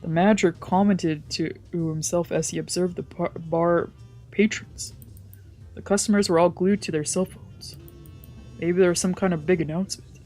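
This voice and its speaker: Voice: mid-range voice, fairly even intonation, normal speed, a little mellow. A streamer reading a visual novel aloud as brooding first-person dramatic narration, with music behind. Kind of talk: dramatic narration with character voices.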